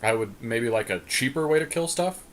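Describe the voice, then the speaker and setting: A man co-hosting a podcast, casually commentating on a card game draft and reasoning out loud. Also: medium pitch, expressive, fairly quick. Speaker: podcast co-host.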